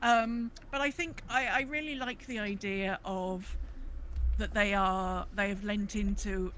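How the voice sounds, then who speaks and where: A woman's voice: mid-range voice, fairly bright tone, slight background noise. A woman chatting casually and enthusiastically, as a co-host on a gaming podcast.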